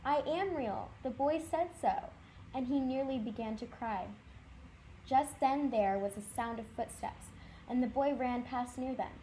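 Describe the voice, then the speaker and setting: Mellow, higher-voiced woman reading a storybook. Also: low-quality recording. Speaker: volunteer storytime reader. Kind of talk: children's story read-aloud. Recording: clear background noise.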